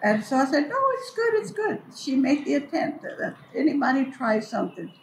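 A woman's voice: sits high, big pitch swings, moderate pace. An older woman telling memories casually and unhurriedly, repeating phrases for emphasis. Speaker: storyteller and community elder recalling memories. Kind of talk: casual oral reminiscence. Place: large echoey hall at a cultural building. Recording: echoey hall with clear background noise.

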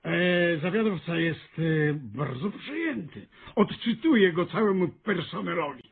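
An actor in a radio play voicing a nervous, earnest stationmaster with lofty ambitions, stammering and husky. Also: male voice, sits high, animated, a little slow, exaggerated voice. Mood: eager, nervous. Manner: stammering, hesitant, eager, solemn. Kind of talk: Polish radio drama acting. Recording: muffled, band-limited radio recording.

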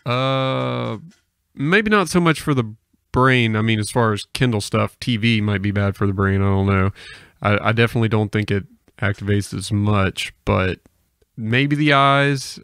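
Young man, creaky voice, dry close sound. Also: lowish voice, unhurried, fairly bright tone, dry recording. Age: young adult. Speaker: podcast host. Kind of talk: casual chat. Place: small indoor room with bookshelves. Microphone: close podcast microphone on arm.

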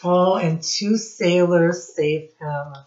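Female storytime teacher, middle-aged, slightly low pitch, instructive read-aloud for young children, muffled home webcam recording. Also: darkish tone. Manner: instructive, addresses children directly, pauses to point things out. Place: small room at home, indoors.

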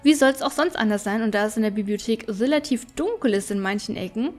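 A woman's voice: on the high side, animated, fast, soft background music. A woman giving casual, chatty gameplay commentary, thinking aloud as she plays. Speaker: let's player.

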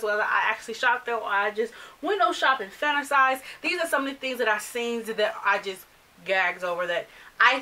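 A woman's voice: on the high side, distant mic, rough recording. A woman in her thirties, a fashion vlogger, chatting animatedly to camera in a small bedroom, English not her first language. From United States. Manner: chatty, animated, gestures while talking. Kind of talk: casual chat to camera. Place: small bedroom filled with clothes and accessories.

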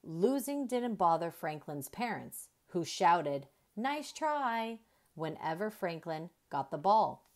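A woman reading a children's story aloud in an expressive, deliberate storyteller's manner. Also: fairly low pitch. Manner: deliberate, animated storytelling with emphasized dialogue.

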